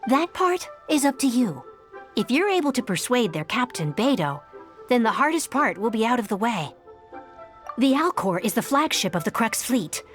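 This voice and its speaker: A woman voicing a calm, well-informed advisor in a video game, speaking in a measured, explanatory way. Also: on the high side, soft background music.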